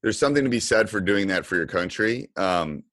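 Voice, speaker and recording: A man's voice: on the low side, animated, a little fast, a bit bright, no room sound, studio quality. A middle-aged man hosting a podcast, chatting casually in a rambling, enthusiastic way. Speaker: podcast host and basketball coach. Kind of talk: casual podcast interview chat.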